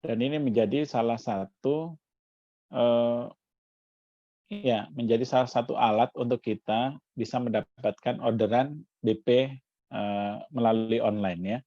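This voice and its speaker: A man's voice: medium pitch, fairly even intonation, unhurried, long pauses, mellow, no room sound. Man coaching through a dull call line. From Jakarta. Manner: explains step by step with frequent "ya" tags. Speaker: community coach. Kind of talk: online workshop demo. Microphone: video call.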